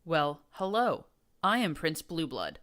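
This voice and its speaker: A woman's voice: low-pitched, sing-song, takes it slowly, a bit bright, exaggerated voice. A woman voicing a pompous, deranged undead male pony prince who hisses with false gentility and breaks into screams. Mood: hissing mock-gentle. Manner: hissing, falsely genteel, erupting into screams.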